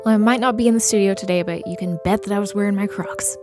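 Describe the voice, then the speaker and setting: A young woman from Texas, chatting upbeat in a casual vlog while a little hoarse from a recent cold, full of "like" and "uh". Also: mid-range voice, steady tone, quick pace. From Texas, USA. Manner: chatty and upbeat, with many "like" and "uh" fillers. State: hoarse after losing her voice to a cold. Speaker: vlogger, maker. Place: inside her car, then out at estate sales. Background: music playing underneath.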